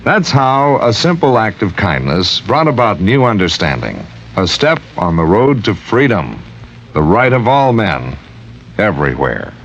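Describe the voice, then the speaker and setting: A dull, faintly noisy vintage radio recording carries a man as announcer. He reads a scripted narrative in a lowish voice with lively rises and falls. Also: unhurried.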